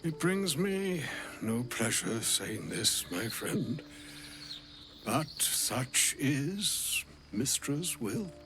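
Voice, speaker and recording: A man's voice: medium pitch, big pitch swings, music in the background. An actor playing an ancient, gluttonous wizard in a video game, an old man with a florid, pompous, theatrical way of talking. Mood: grave, solemn. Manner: pompous, florid, wordy, halting when uneasy. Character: ancient, gluttonous, grandiloquent old wizard, grave yet fussy.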